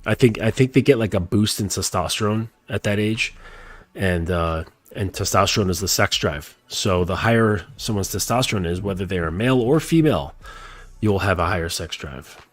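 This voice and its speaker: A man's voice: deep voice, animated, normal speed, no echo. A man hosting his show while congested from a cold, talking nasally in a rambling casual way with lots of fillers and restarts. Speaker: podcast host. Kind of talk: casual chat.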